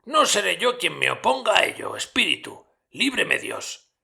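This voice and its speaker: A man's voice: high voice, huge pitch swings, normal speed, gravelly, pushed and bright, pitched above the performer's own voice, full character voice. An old miser from a storybook, stirred and emotional, put on by a male narrator. His voice leaps well above the narrator's own and rings out with raised, heartfelt exclamations. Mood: grudging.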